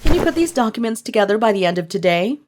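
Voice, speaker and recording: A woman's voice: fairly high pitch, sing-song, quick pace. Haughty, swooping female office bully. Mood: breezy. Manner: condescending, pushy. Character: haughty, bossy, scheming female designer, spoiled banker's daughter. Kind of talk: manga dub acting.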